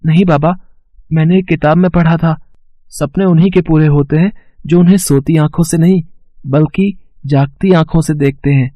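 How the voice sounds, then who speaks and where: A man's voice: on the high side, fairly even intonation, normal speed, dry recording, muffled recording, natural voice. A young man acting an earnest, hardworking poor student boy with a hesitant manner in a moral story. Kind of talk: acted dialogue for an animated moral story.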